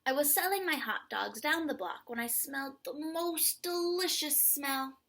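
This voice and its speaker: Woman as a bright, hungry hot dog vendor. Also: sits high, measured pace, pitched above the performer's own voice. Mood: curious, appreciative. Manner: savouring, courteous.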